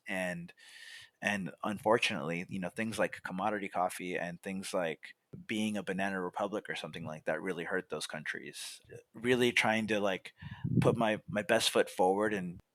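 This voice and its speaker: Young male podcast guest, easygoing storyteller with a lower voice, dry close-mic sound. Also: dry recording. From California, USA. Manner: relaxed, many fillers like "you know" and "like". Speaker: podcast guest, coffee roaster. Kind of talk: casual storytelling.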